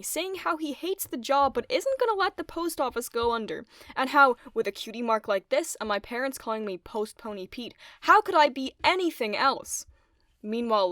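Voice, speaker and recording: A woman's voice: fairly high pitch, a bit bright, dry recording, studio quality. A woman reading scripted narration as co-host of a video essay.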